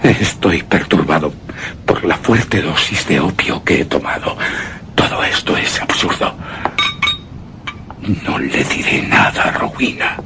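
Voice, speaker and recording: An actor playing a haunted, anguished husband who narrates a gothic horror tale in a radio drama, with heavy dramatic pauses. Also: male voice, very deep voice, lively intonation, noisy background, heavily acted. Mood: fearful, bewildered. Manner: slow, brooding, dramatic delivery with heavy pauses.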